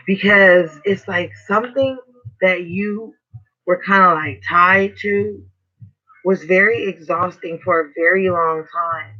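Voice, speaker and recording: A man with a hoarse, raspy voice giving a casual, slangy tarot reading as host. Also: sits high, takes it slowly, pushed and bright. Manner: slangy, chatty, swears casually. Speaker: tarot reader. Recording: dull lo-fi recording.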